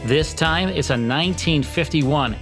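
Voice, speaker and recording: A man's voice: fairly high pitch, a little slow. A man hosting a podcast in scripted narration. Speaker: podcast host. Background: music.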